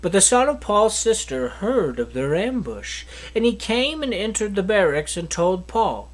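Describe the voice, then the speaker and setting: A man reading a Bible chapter aloud in a steady, earnest manner, with the odd slip and restart. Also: high voice, a little slow, poor audio. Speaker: scripture reader.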